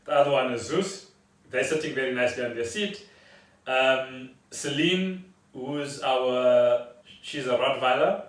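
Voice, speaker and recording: A man chats casually as the co-host of a home podcast. His voice sits at an average male pitch. It was picked up some way from the mic, with plenty of room echo around it. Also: a little slow. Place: indoors at home. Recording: roomy, distant from the mic.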